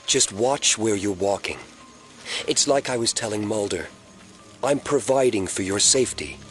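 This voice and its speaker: An actor playing a smug, calm man who runs a secret facility, speaking formally and condescendingly in an audio drama. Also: fairly low pitch, faint music behind, close to an everyday voice. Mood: calm, commanding. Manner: condescending, measured, formal wording.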